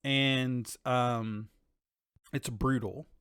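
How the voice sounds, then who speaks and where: Male podcast co-host, mid-pitched, casual halting chat with some swearing, very dry close sound. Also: fairly even intonation, a bit bright, smooth, no room sound.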